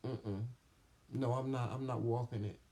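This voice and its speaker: A man's voice: mid-range voice, steady tone, measured pace, vocal fry, warm tone. A man in his fifties co-hosting a casual talk show, bantering bluntly and sassily and swearing for emphasis. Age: 50s. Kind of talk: casual banter, reading live comments.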